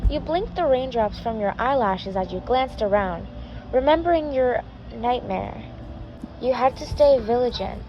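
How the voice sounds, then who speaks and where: A woman's voice: on the high side, animated, a little slow, highs cut off, slight background noise. A woman reading a fan fiction story aloud, sometimes stumbling and correcting herself. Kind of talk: scripted story narration.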